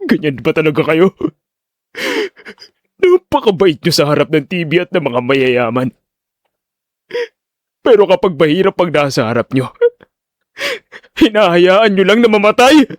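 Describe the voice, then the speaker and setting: High boyish voice, man acting, poor teenage son, tearful, imploring, desperate, audio drama, dry close sound. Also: big pitch swings, a little slow, pauses often, dry recording. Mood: bitter anger.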